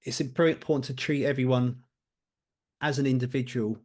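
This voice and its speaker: A man's voice: mid-range voice, fairly even intonation, fairly quick, a little mellow. A man talking candidly and informally as a podcast guest on mental health, with a blunt way of putting things. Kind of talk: casual, candid podcast conversation.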